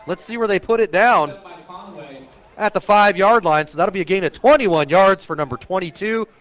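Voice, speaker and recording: Higher-voiced man calling football play-by-play. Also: normal speed. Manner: clipped descriptive phrases, excited on big plays. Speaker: play-by-play radio sportscaster. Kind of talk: live high school football play-by-play. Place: outdoor stadium, live radio broadcast.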